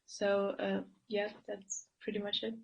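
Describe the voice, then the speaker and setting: A woman's voice: medium pitch, completely flat delivery, measured pace, creaky, highs cut off, slight background noise. Young woman, trailing off. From Russia. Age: young adult. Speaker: undergraduate student. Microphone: video call.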